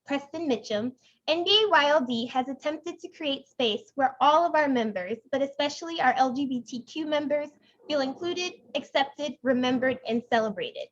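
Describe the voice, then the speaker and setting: A woman's voice: sits high, moderate pace, muffled recording. A young woman reading a formal scripted welcome and introduction as the chair of an online event. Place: indoors, online video meeting.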